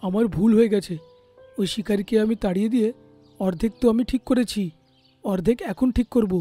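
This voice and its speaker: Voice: mid-range voice, a little fast, dark tone, soft background music, lightly acted. An actor voicing a hot-headed young male parrot, petulant and emphatic, in a cartoon. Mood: remorseful.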